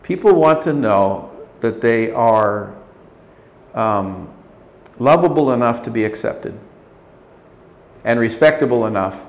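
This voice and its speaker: A man in his sixties, an interview guest, talking in a measured, thoughtful way with frequent restarts in an office meeting room. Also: on the low side. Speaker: interview guest, leadership consultant. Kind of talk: reflective interview talk. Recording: roomy indoor sound.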